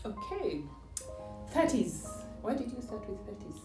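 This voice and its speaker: A woman's voice: a little high, very animated, unhurried. A woman of forty-seven, chatting reflectively as a guest in a house. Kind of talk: casual chat, reflective. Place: indoors in a house. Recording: roomy echo, distant mic, music behind. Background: background music.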